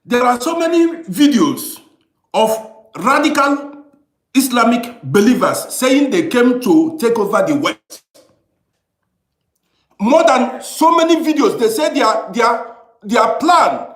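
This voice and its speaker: A man's voice: extremely high pitch, lively intonation, unhurried. A man hosting a live panel debate, firmly cutting in to keep order. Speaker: host of a panel discussion. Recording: echoey room sound.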